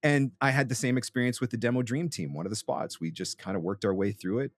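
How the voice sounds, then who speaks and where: A man's voice: medium pitch, fast. A man hosting a podcast, giving conversational advice emphatically, with rhetorical questions and frequent check-ins. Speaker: podcast host and voice-over coach.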